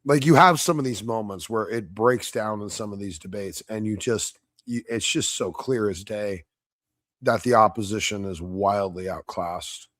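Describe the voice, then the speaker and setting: A man giving casual livestream commentary on a debate, blunt, combative and profane, his voice slightly hoarse. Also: fairly low pitch, moderate pace, fairly bright tone, no room sound, studio quality. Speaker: debate reviewer streaming live.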